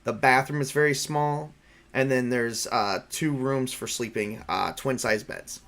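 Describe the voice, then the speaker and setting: A man's voice: medium pitch, measured pace, a bit bright. A man casually improvising as game master, rambling and rephrasing as he narrates and banters in character. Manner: rambling, repeats and rephrases himself, makes spoken sound effects.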